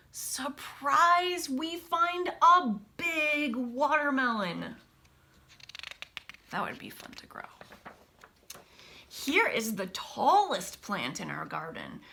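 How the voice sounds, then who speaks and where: A woman teacher reading a picture book aloud to children, expressively, with casual, hushed explanatory asides. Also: a little high. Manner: animated storybook delivery, dropping to hushed asides.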